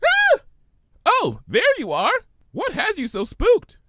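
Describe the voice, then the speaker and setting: Man putting on a squeaky, bubbly cartoon girl pony. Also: slow pace, noisy background, exaggerated voice. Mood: startled, cheery. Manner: excitable, exclaiming, playful. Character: bubbly hyperactive young female cartoon pony, playful.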